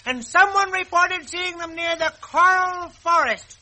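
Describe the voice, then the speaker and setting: 아주 높은 목소리의 장난감 병정 대장. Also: male voice, very high voice, a little slow, sharp tone, heavily acted. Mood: businesslike. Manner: clipped military barking, breezy slang. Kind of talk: 1940s children's radio drama acting.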